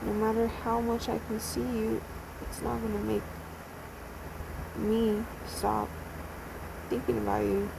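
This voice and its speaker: A young woman, sad and near tears, talking in a halting, repetitive way with sighs between thoughts in a personal video message. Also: a little high, completely flat delivery, gravelly, background noise. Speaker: vlogger.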